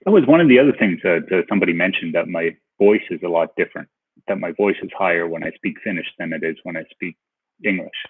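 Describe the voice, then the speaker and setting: Husky low-voiced man. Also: quick pace, no room sound. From Canada. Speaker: livestream host answering chat questions. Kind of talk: casual chat. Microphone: headphones with mic. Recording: tinny phone-like livestream audio.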